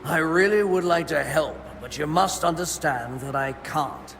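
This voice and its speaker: A fussy monk from a video game, guarding the food stores. His male voice is gravelly and slightly high, with grudging, pious formality. It rings in long reverb with music behind it. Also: unhurried, a bit bright, a little hiss or hum. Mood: stern. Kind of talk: video game cutscene acting.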